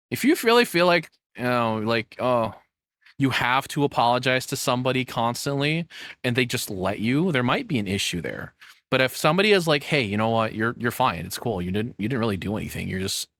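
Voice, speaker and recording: A man's voice: medium pitch, a bit bright, no room sound, clean studio sound. A man giving casual reaction commentary as a streamer, rambling candidly and self-deprecatingly, with casual swearing.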